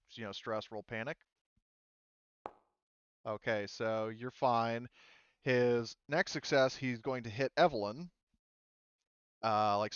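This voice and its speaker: A man running a tabletop roleplaying game as game master, explaining rules and thinking aloud in a halting way. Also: fairly low pitch, a bit bright, smooth, no echo, highs cut off.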